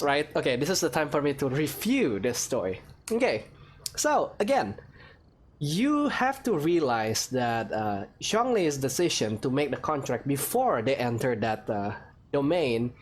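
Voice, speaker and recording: A man's voice: mid-range voice, slight background noise. A man giving casual playthrough commentary and review, informal and hesitant, often rephrasing as he goes. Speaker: gaming video creator.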